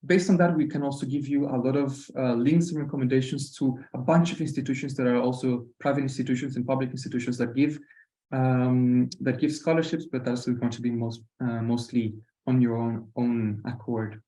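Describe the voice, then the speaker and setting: Mellow-voiced man with Costa Rican Spanish accent. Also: medium pitch, level tone, brisk. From Costa Rica. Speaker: university program representative presenting. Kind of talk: informal webinar presentation. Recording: roomy online webinar audio.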